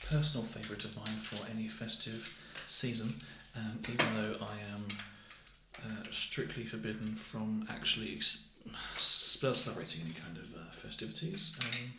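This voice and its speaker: A soft-spoken British man in an ASMR roleplay as a clerk, talking haltingly and a little awkwardly, often dropping close to a whisper. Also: lowish voice, steady tone, reverberant, background noise. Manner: hesitant, gently awkward, halting delivery. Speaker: ASMR roleplayer playing a festive assessment clerk. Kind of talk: ASMR roleplay, improvised personal-attention chat.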